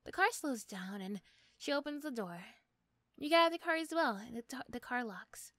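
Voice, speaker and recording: High-voiced woman narrator, very dry sound. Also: animated, normal speed, bright, forceful tone, no room sound. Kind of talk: scripted second-person story narration.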